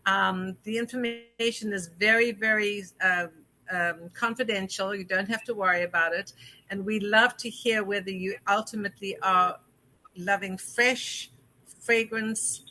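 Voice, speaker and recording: A woman's voice: mid-range voice, fairly even intonation, a little slow, pushed and bright, slight background noise, rough recording. A woman from South Africa hosting a call-in interview show, chatty and effusive with lots of fillers. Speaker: host.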